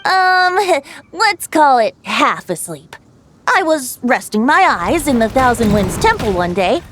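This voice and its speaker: A boyish, playful bard from a video game. The voice is high and breezy, with a lilting storyteller's delivery over soft music. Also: female voice. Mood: light, amused. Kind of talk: video game character dialogue.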